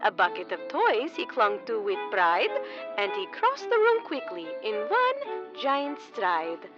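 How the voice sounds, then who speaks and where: A woman's voice: a little high, wildly swooping pitch, unhurried. A woman voicing a proud, stern but affectionate alien creature mama, theatrical and commanding, in a comedic audio drama. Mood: grand storytelling. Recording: dull muffled sound under music.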